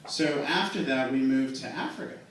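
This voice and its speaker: A young man in his twenties doing a stand-up routine in a comedy club, telling self-mocking stories in a slow, dry way. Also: medium pitch, fairly bright tone, a little hiss or hum. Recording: echoey club room miked from a distance.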